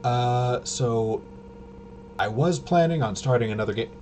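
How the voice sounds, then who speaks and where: A man hosting a livestream with casual, unscripted commentary, talking in a halting, rambling way and often trailing off mid-sentence. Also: medium pitch, a little mellow. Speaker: livestream host. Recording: noisy, with clear background hum.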